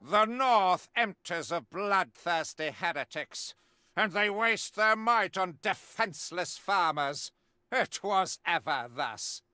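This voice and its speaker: An actor playing a zealous, righteous witch-hunting warrior priest in a video game, pompous and grandiloquent, pausing deliberately for effect. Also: high voice, animated, pushed and bright, smooth, no echo, heavily acted. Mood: menacing relish. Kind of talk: theatrical video game mission briefing.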